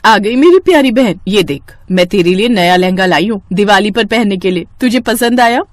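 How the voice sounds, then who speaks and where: A woman's voice: mid-range voice, expressive, moderate pace, no room sound, natural voice. A woman voicing a caring, doting elder sister in a story cartoon, affectionate and concerned in manner. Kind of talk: Hindi moral-story cartoon dialogue.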